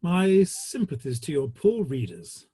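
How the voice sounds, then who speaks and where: A man in a play reading, playing a genial, teasing Victorian theatre manager with a husky voice. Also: fairly high pitch, huge pitch swings, unhurried, warm tone, dry recording, studio quality, heavily acted.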